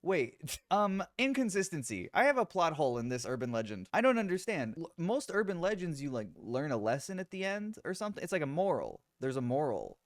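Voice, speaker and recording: A young man reacting to horror clips in comedic commentary, animated and incredulous, often laughing and swearing. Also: fairly high pitch, fairly quick, no room sound, studio quality.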